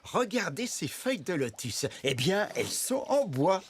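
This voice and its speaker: Friendly jungle villager in a cartoon, calm, polite, lively pitch, faint noise behind. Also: male voice, a little slow. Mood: explaining.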